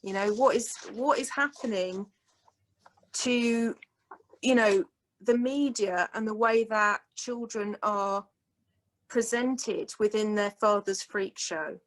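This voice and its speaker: A woman's voice: mid-range voice, slow, poor audio. A woman speaking as a guest at an online talk, emphatic and indignant.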